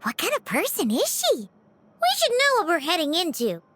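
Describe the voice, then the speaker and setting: A woman voicing a small, excitable floating fairy companion in a video game, with a very high, squeaky voice and an eager, chatty way of talking. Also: normal speed, heavy background noise, heavily acted. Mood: chirpy. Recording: game audio over background music.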